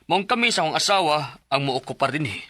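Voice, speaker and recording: A man's voice: on the high side, sing-song, fast talker, pushed and bright, heavily acted. A man acting an assertive middle-aged house buyer and landlord in a Cebuano Filipino radio drama. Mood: firm, businesslike.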